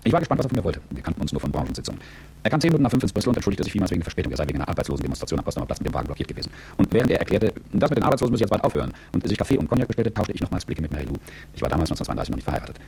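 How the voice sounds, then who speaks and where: A low, quick-spoken man in a radio drama, a calculating and world-weary executive looking back on his life. His telling is articulate and reflective, with a gravelly edge. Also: sing-song, a little mellow, a little hiss or hum, full character voice. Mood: reflective, sober. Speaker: narrator and lead character. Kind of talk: radio drama first-person narration.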